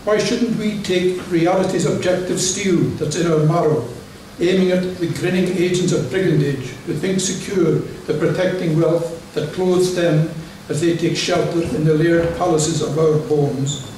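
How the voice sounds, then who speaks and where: A man with a fairly high voice reads his poems aloud, measured and declamatory. He sounds distant from the microphone, and his words ring around a large, very echoey church hall. A faint hiss of room noise sits underneath. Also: steady tone, a little mellow. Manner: measured, declamatory reading with brief spoken asides. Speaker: poet. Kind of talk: poetry reading before an audience.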